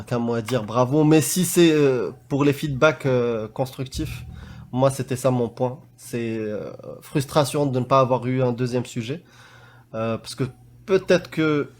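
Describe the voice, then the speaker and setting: A man talking casually on a live voice call, pausing often, repeating words and restarting his sentences. His pitch sits right in the middle for a man, with nothing unusual about it. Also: a little mellow. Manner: hesitant, many fillers and restarts. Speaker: debate panelist giving feedback. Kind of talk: casual live-stream discussion.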